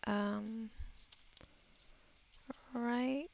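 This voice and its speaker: Slow, creaky-voiced woman thinking aloud. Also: on the high side, fairly even intonation, darkish tone, low-quality recording. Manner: hesitant, musing, thinking aloud. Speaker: digital artist giving a tutorial. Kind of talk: unscripted voice-over of a painting process.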